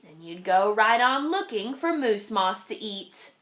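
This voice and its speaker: A woman reading a children's storybook aloud in an expressive, dramatic way with deliberate phrasing. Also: mid-range voice. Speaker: children's read-aloud reader.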